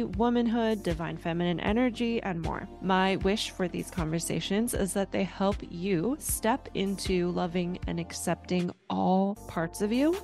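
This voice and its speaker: Low, gravelly-edged woman host. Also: darkish tone. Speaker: podcast host. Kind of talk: scripted intro, then casual interview.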